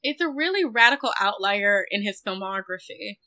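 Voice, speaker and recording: A woman's voice: mid-range voice, expressive, normal speed, sharp tone. A woman co-hosting a podcast, discussing film casually with opinionated, emphatic delivery. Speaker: podcast co-host.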